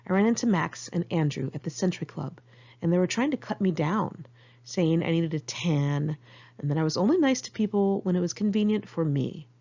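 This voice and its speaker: A woman's voice: lowish voice, vocal fry, dark tone, muffled recording. An American woman reading her own memoir aloud in a candid, conversational, sardonic way. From United States, Los Angeles area. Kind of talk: first-person memoir narration, read aloud in one take.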